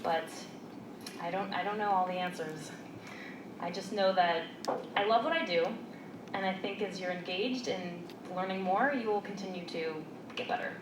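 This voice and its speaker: A woman's voice: fairly low pitch, moderate pace. A woman talking casually to an audience, often using "like" as filler. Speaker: panel speaker. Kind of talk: conversational talk to an audience. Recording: roomy far-off hall recording with noise.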